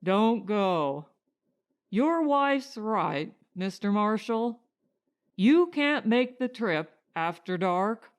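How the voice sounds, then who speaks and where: A woman voicing a reasonable, courteous male settler in an audiobook story, speaking in a deliberate, persuasive way. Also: mid-range voice, expressive. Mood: softened, hospitable.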